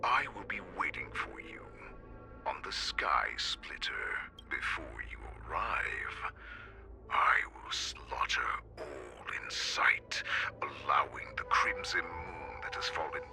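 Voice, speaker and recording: A male actor playing a ruthless wolf-beast warlord in a video game, with a growling voice and slow, menacing, grandiose delivery. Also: rumbling low, expressive, music in the background, exaggerated voice. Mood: sinister gloating. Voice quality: gravelly, growling, breathy snarls. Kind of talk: dramatic video game villain acting.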